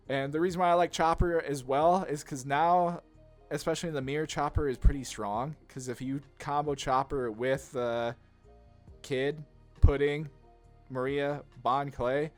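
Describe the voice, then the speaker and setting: Man, medium pitch, casual gaming commentary, enthusiastic, rambling delivery, music playing behind. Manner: rambling, enthusiastic, heavy use of "like". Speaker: gaming channel host. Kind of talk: casual card game commentary.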